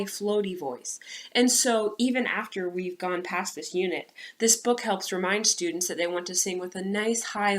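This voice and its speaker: A woman speaking as a music teacher, presenting and reading children's material in an expressive, instructive way. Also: fairly low pitch. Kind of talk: instructional presentation, then storybook read-aloud.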